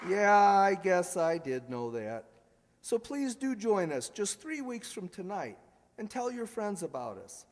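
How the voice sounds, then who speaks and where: Male radio-show announcer, high voice, playful ad-libber, reverberant hall recording. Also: very animated, a little slow, a faint crowd. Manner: jokey, ad-libbing. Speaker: announcer and host. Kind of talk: live old-time radio show announcing. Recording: echoey hall with background hiss.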